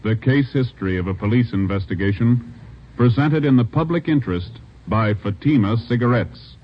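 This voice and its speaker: A man announcing a 1950s radio show and its sponsor in a formal, measured manner. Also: medium pitch, lively intonation. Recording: tinny old radio recording.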